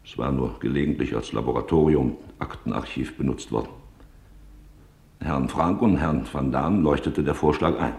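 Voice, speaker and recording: A very deep, gravelly businessman recalls wartime events for a radio documentary. He is grave, factual and deliberate, in a roomy, echoing space. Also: a little mellow. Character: sober Dutch businessman, a witness recalling wartime hiding. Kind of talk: scripted testimony in radio documentary drama.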